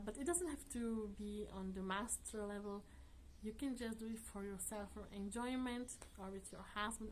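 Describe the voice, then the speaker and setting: A woman's voice: mid-range voice, slight background noise. Chatty woman vlogger, non-native English. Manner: earnest, chatty, with frequent soft laughs. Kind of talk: casual chat to camera.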